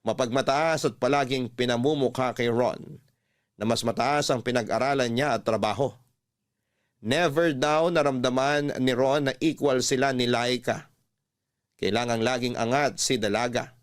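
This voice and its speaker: A man's voice: mid-range voice, fairly even intonation, moderate pace. A man with a Filipino accent, a radio host reading a first-person love story as dramatic narration. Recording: music bed under the voice.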